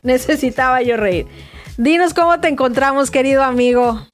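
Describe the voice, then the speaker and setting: A woman's voice: high voice, normal speed, soft background music. A woman with a northern Mexican accent hosting a podcast, chatting casually with a guest in an effusive, encouraging manner. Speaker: podcast host interviewing a guest. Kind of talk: casual interview chat. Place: recording booth.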